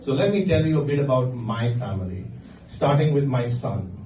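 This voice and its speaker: Middle-aged Indian man, stand-up, dry deadpan, mellow voice, distant roomy sound. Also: mid-range voice, normal speed, a little hiss or hum. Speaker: stand-up comedian. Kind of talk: stand-up comedy set. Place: comedy venue with live audience. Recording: echoey room, distant mic, faint ambience.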